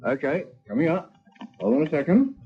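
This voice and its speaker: A man's voice: on the high side, very animated, fairly quick, husky. A man calling a radio show by phone, chatting casually. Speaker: phone-in caller. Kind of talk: casual chat. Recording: tinny phone line, faint music.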